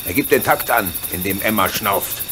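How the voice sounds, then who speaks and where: An actor playing a gruff, hearty, good-natured locomotive driver in a German radio play. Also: male voice, on the low side, lively intonation, normal speed, background noise, lightly acted. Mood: worried, explaining.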